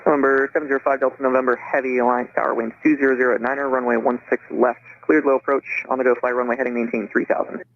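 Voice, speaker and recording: A man's voice: medium pitch, level tone, fast, few pauses, narrow, tinny audio. A man working as an air traffic controller, speaking clipped phraseology over aviation radio.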